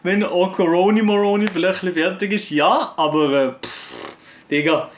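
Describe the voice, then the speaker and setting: A man's voice: sits high, normal speed, slight background noise. A young man from Switzerland with a Swiss accent, chatting casually with viewers and answering their comments in loose, slangy talk full of fillers. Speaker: YouTuber.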